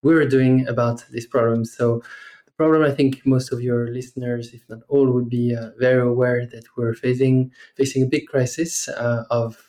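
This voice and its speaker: A man in his thirties from France with a French accent, a podcast guest speaking in a measured way with many fillers and pauses. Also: mid-range voice, little pitch movement, dark tone. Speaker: guest, startup investor. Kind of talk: podcast interview.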